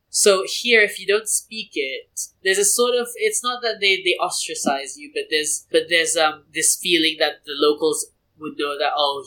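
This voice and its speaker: A young man from Brunei talks about himself in an easygoing interview, lively and digressive. His voice is bright and a little high-pitched. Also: rough recording. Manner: chatty and animated, with many restarts and asides. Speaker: interview guest. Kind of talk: casual conversational interview.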